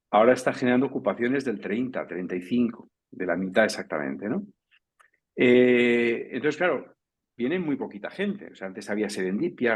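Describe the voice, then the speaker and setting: A man speaking as a panelist in a professional round-table discussion, talking in a loose, discursive way and often checking in with the listener. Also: mid-range voice. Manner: discursive, tags points with "¿no?" and "¿vale?".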